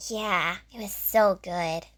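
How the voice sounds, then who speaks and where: A woman playing an excitable, sweetly earnest young twin girl in a children's audio drama. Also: medium pitch, slow. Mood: happy. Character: cheerful, eager young girl, one of twin sisters.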